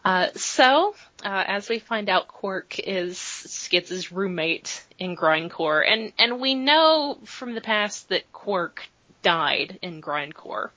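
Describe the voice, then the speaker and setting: A woman hosting a podcast talks in a bright, somewhat husky voice. She rambles casually and fills her sentences with "uh" and restarts. The recording is dull and lo-fi, with a dry, close sound. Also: mid-range voice, expressive, slow pace, no room sound. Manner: chatty and rambling, full of fillers. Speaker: podcast host. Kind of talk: casual chat, recapping comics.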